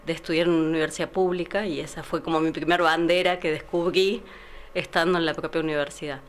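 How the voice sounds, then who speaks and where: Low-voiced Argentine woman councilor. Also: a bit bright. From San Luis, Argentina. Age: 40s. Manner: thoughtful, hesitant, with restarts. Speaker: city councilor, guest. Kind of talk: radio interview.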